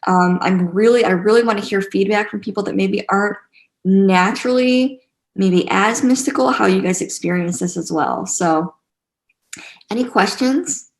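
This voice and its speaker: A woman with a mid-pitched voice and plenty of vocal fry leads a live online class. She talks casually and gently, encouraging the group and circling back over phrases to stress them. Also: moderate pace. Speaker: teacher leading an online spiritual class.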